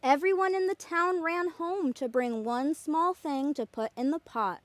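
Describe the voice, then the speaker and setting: A woman reading a children's storybook aloud as a playschool storyteller, expressive, deliberate and emphatic. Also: high voice, no room sound.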